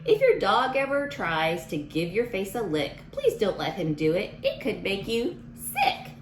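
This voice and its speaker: Female storytime teacher, lively swooping delivery, mid-range voice, speaks straight to little kids, recorded in a roomy echo. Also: mid-range voice, unhurried, a little hiss or hum. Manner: expressive, addresses young listeners directly. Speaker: teacher reading a picture book aloud to children. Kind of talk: read-aloud storytime for young children with chatty asides.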